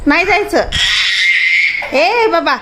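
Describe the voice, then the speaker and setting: A woman's voice: very high voice, lively intonation, slow, raised voice. A young woman with a Marathwada Marathi accent, a mother chatting casually with her toddler, playful and teasing, repeating short questions. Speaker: mother and family vlogger. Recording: faint background noise.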